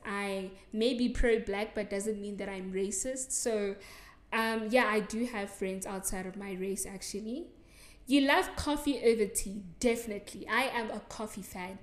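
A woman's voice: a little high, fairly bright tone. A young South African woman with a South African accent, hosting a solo podcast in a chatty, giggly way full of likes and ums. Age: young adult. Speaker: podcast host. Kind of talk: solo podcast answering listener assumptions. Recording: echoey room.

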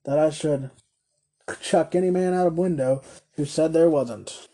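A man's voice: fairly high pitch, a little slow, mellow. A man voicing an earnest, devoted, plain-spoken carrier husband in a storybook reading. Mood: earnest, puzzled.

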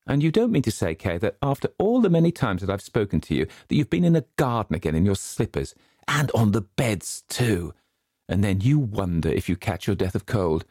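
A prim, cross governess scolds in a fussy, raised voice, as a man puts her on for a storybook. The voice keeps its gravelly edge. The recording is dry and close. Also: fairly low pitch, big pitch swings, a little fast, a little mellow, dry recording, voice pushed up. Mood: scolding. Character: strict, cross woman governess.

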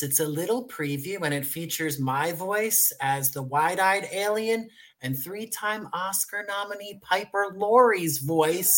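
A man's voice: sits high, a bit bright, rough recording. A man speaking as an interview guest, presenting his creative project in an articulate, promotional way. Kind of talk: interview conversation.

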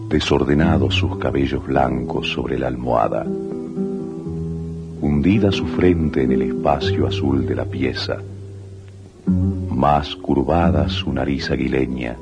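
A man with an extremely deep voice gives slow, grave, poetic radio drama narration. The old recording is dull, with loud guitar music behind him. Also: fairly even intonation. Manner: grave, declamatory.

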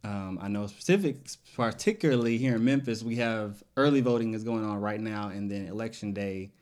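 A man's voice: on the low side, fairly quick, darkish tone, clean tone. A man from the United States hosting a podcast in a casual, conversational style. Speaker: podcast host.

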